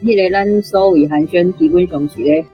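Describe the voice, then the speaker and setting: A woman's voice: on the low side, moderate pace, highs cut off, faint music behind. A woman with a Taiwanese accent, a language teacher explaining translations over an online connection.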